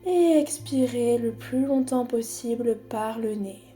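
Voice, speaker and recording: A woman guiding a body scan meditation in a calm, unhurried, soothing manner with long pauses. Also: a little high, soft background music. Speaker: psychologist guiding a relaxation exercise.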